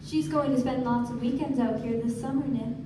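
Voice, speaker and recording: A woman's voice: on the high side, steady tone, brisk, far from the mic, close to an everyday voice. A woman playing a dreamy, cynical young society wife in a gushing, theatrical manner for a live radio play. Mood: playful. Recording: echoey stage recording from afar, noisy.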